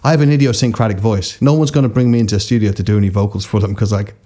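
A man hosting an interview podcast in a laid-back, casual way, with a raspy, lived-in voice. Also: on the low side, fairly even intonation, very fast, dark tone. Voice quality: self-described raspy, lived-in voice. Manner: laid-back, encouraging backchannels. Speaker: podcast host.

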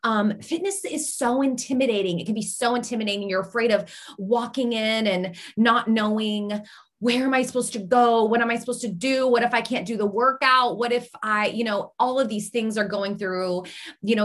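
A woman's voice: mid-range voice, a bit bright. A woman who owns a fitness business, speaking as a podcast guest in an earnest, explanatory way with frequent filler words. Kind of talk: interview answers.

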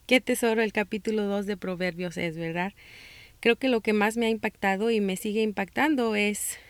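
A Mexican-American woman from Texas hosts a faith-based parenting podcast. She reads from a script in a calm, measured voice and slows down for scripture. Her voice sits in a mid range, recorded close and dry with no room sound. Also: medium pitch, dry recording. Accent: Mexican-American Spanish, northern border and South Texas. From United States (Texas). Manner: calm, measured reading with slow scripture passages. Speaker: podcast host.

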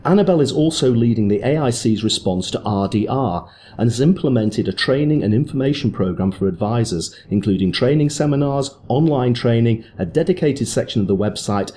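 A man's voice: mid-range voice, lively intonation, normal speed, mellow. Guy hosting a podcast, bouncy up-and-down voice, chatty and promo-ish. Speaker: podcast host. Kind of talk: scripted intro then interview chat. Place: guest's office.

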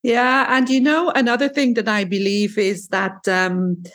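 A woman's voice: medium pitch, little pitch movement, unhurried, a bit bright. A woman talking casually about travel in a conversation. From Switzerland. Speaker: former tour guide.

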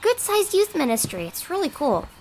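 A woman's voice: extremely high pitch, sing-song, moderate pace, a little mellow, slight background noise. An actress playing a friendly young local woman in an audio drama, casual and chatty.

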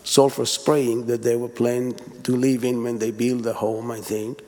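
A man's voice: mid-range voice, little pitch movement, a little mellow. Israeli-accented man speaking in a reverberant church. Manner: halting, with fillers and restarts. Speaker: church member giving a eulogy. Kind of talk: unscripted memorial remarks. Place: church sanctuary. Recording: reverberant hall.

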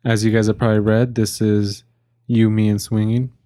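A man's voice: lowish voice, flat delivery, moderate pace, mellow, dry recording, studio quality. A young man in his early twenties co-hosting a casual podcast, a little drunk, rambling with fillers and restarts. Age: young adult. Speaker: podcast co-host.

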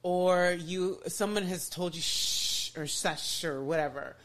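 This is a woman hosting a podcast, with a voice that sits a little low for a woman. She talks with enthusiasm in a loose, conversational way. She often restarts her sentences and fills her talk with "you know.". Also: a little slow, fairly bright tone. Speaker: podcast host. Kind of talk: casual podcast chat.

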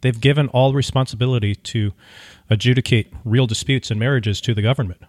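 A man co-hosting a podcast in casual conversation, speaking in halting, searching phrases. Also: medium pitch, steady tone, darkish tone, no echo, studio quality. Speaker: podcast co-host.

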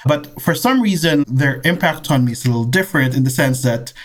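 Friendly man guest, hesitant and warm. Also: medium pitch, animated, fairly quick. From Philippines. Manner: friendly, hesitant with restarts and laughs. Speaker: guest, professor. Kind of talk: casual interview answers.

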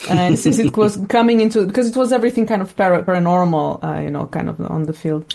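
A woman's voice: fairly low pitch, fairly even intonation, fast talker, gravelly, mellow. A woman talks reflectively as an interviewed sound designer, thoughtfully searching for her words.

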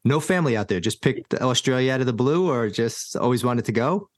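A man hosting an interview, asking questions and responding in a warm, affirming, conversational way. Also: medium pitch, lively intonation, a little fast, no echo, clean studio sound. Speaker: podcast host.

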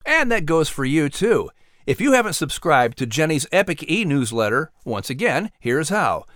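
Animated male podcast announcer. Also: a little high, moderate pace, no room sound, clean studio sound. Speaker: announcer and narrator. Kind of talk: scripted podcast narration.

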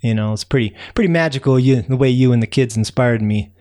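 A man's voice: medium pitch, fast, darkish tone, close to an everyday voice. A man voicing a friendly, chatty raccoon who rambles through a bedtime tale with many fillers. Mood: easygoing. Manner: rambling, folksy, lots of fillers. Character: friendly chatty male raccoon, easygoing storyteller. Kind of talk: bedtime storytelling.

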